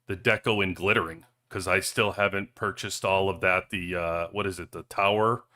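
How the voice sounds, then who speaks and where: A man's voice: sits low, steady tone, normal speed, sharp tone. A man hosting a livestream in loose, rambling casual chat with joking asides, talking into a cheap headset. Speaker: livestream host. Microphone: cheap headphones headset.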